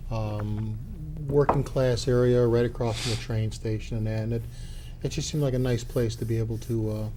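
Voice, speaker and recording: A man who owns a grocery business, answering interview questions in a measured, slightly halting way. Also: mid-range voice, fairly even intonation, background noise. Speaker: grocery business owner, guest. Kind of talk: local cable interview.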